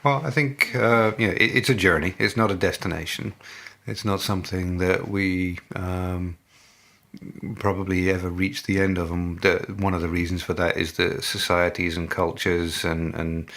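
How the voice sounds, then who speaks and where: A middle-aged man with an English accent, a guest in a podcast interview, speaking in a thoughtful, measured way with many fillers and restarts. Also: deep voice, steady tone, creaky, fairly bright tone. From England. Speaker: guest, business leader.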